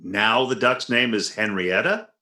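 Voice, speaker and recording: A man's voice: on the low side, measured pace, sharp tone, roomy sound. A man playing an exasperated, grumbling husband with dry, sarcastic delivery in an old-time radio comedy. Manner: dry, sarcastic, put-upon.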